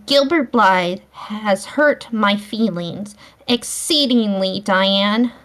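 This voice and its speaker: A woman reading a storybook, voicing an earnest, dramatic schoolgirl who speaks with deliberate dignity. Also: mid-range voice, fairly bright tone. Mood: wounded dignity.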